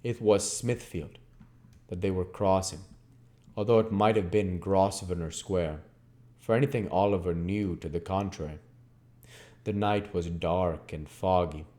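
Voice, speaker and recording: A man's voice: low-pitched, fairly even intonation, unhurried, darkish tone. A man reading a classic novel aloud as a dramatic, emphatic narrator. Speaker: audiobook reader.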